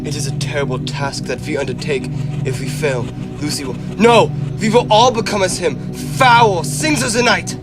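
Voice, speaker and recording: High-pitched, urgent vampire hunter played by a man. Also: normal speed, over loud music, natural voice. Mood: grave urgency. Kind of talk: radio drama acting.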